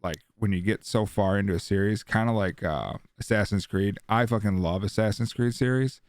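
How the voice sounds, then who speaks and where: Low-voiced, slangy man in casual chat. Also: moderate pace, dry recording. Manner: slangy, swearing. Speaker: podcast co-host. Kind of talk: casual panel chat about video games.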